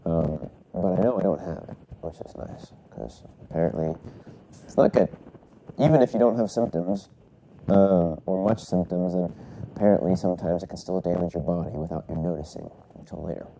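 A man's voice: sits low, normal speed, creaky, mellow, slight background noise, poor audio. A man recovering from a cold, congested and coughing, rambling casually through his solo podcast with many fillers and self-corrections. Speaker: podcast host.

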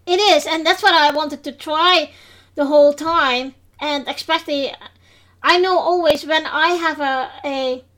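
A woman with a high-pitched voice and a Dutch accent hosts a podcast in English. She talks casually and hesitantly, pausing often with fillers and restarting her sentences. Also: fairly bright tone. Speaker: podcast host.

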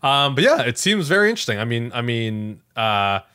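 A man's voice: mid-range voice, big pitch swings, a little fast, bright, forceful tone, clean tone. A man hosting a podcast in a casual chat style, conversational and often hedging as he thinks aloud. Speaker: podcast host.

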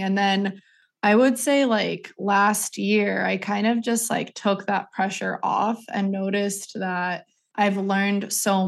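A woman hosting an interview podcast, chatty and enthusiastic, affirming her guest as she talks. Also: medium pitch. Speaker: podcast host. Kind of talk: casual interview talk.

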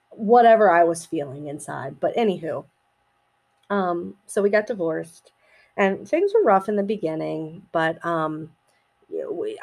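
A middle-aged woman with a slightly raspy voice hosts a personal podcast, speaking casually in a hesitant, reflective, halting way. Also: on the low side, a little mellow. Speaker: podcast host.

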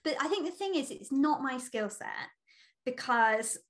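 A woman's voice: high-pitched, animated, moderate pace. A woman co-hosting a podcast, chatting casually and expressively with a friend. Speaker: podcast co-host. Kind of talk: casual chat between friends.

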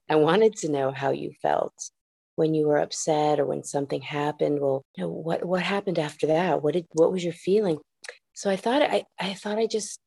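A woman clinical therapist being interviewed on a podcast, explaining in a reflective, measured way with occasional restarts. She grew up in the United States and spoke Japanese first. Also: low voice, gravelly, warm tone, no echo, studio quality.

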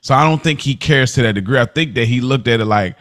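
A man's voice: mid-range voice, quick pace, fairly bright tone. A man hosting a podcast, chatting casually about a TV episode in a thoughtful, roundabout way. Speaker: podcast host. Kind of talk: casual TV recap chat.

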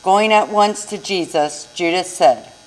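A woman's voice: fairly low pitch, measured pace, slight background noise, low-quality recording. A woman narrating a scripted scripture reading in church. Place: inside a church.

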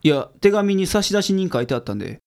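A man acts a grumbling private detective in a radio drama. He has a Kansai accent and a fairly high male voice. His delivery is blunt and dry, with a sardonic edge. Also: very animated, fairly quick, a little mellow, smooth. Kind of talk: audio drama acting.

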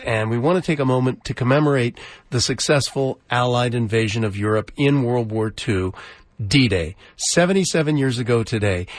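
A male radio host speaks in a conversational, slightly halting way. His pitch is middling and the studio sound is close and dry, as in an informal pledge drive. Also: no room sound. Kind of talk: informal on-air fundraising talk.

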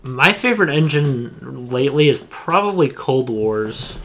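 A man's voice: mid-range voice, animated, measured pace, pushed and bright, slight background noise. A man co-hosting a gaming podcast, chatting casually in a slangy and emphatic way. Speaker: podcast co-host.

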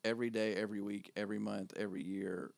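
Low-voiced, measured male co-host, dry sound. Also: flat delivery, gravelly, dry recording. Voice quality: low-toned voice. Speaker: podcast co-host, wedding photographer. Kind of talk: casual podcast chat.

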